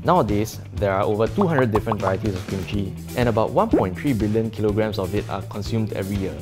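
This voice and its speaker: Brisk male voice-over narrator. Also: fairly low pitch, animated, over music. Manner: informative, brisk.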